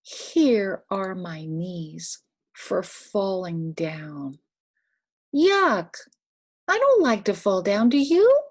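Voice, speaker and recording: An older woman reads a picture book aloud to toddlers, speaking simply with questions and little instructions, in a breathy, husky voice. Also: mid-range voice, big pitch swings, drawn-out words. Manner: simple, instructive, asking the child questions and giving prompts. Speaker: storyteller for young children.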